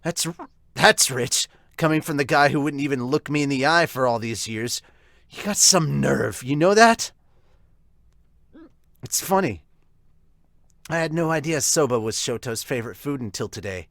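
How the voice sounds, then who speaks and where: A man's voice: mid-range voice, expressive, normal speed, long pauses, no room sound, clean studio sound. Angry young man, bitter and sarcastic, hiccups; a man reads him in a fan dub. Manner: bitter, sarcastic, rising to shouting. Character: angry young man lashing out at his father.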